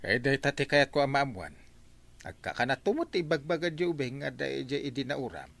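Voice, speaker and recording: A man's voice: mid-range voice, animated, brisk, creaky, a bit bright. A man playing a whining, theatrical village captain in an Ilocano radio comedy drama. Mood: explaining. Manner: wailing, exclamatory, theatrical. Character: whining village captain, comic complainer fond of liquor.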